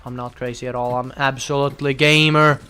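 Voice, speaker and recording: Excitable young man streaming. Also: medium pitch, a little fast, clean tone, soft background music. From Finland. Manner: chatty, bursts into loud excited outbursts. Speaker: game streamer. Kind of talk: casual live gameplay commentary.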